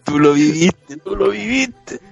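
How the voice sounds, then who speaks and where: Husky high-pitched Chilean man interjecting. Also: animated, takes it slowly. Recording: dull lo-fi sound, music behind.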